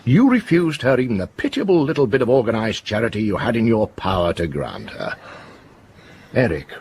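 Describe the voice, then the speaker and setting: An actor playing a stern, righteous middle-aged police inspector in a radio drama, speaking with deliberate, commanding, moralising authority. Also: male voice, fairly low pitch, sing-song, a little mellow, breathy edge, heavily acted. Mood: accusing.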